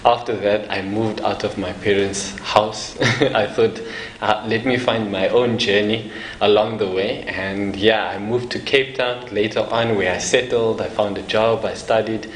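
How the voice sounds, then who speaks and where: A young man from South Africa speaks in a low voice as the guest of an interview, casual and easygoing. He laughs often and restarts his sentences as he goes. The room is echoey, with a faint hiss behind him. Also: steady tone, a little slow. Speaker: evangelist guest.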